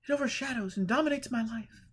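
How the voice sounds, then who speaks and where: Man putting on an anxious high-pitched woman. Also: fast talker, a bit bright, husky, exaggerated voice. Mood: fearful, distressed. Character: anxious, high-strung woman in her early thirties, self-effacing. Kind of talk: audiobook character voice.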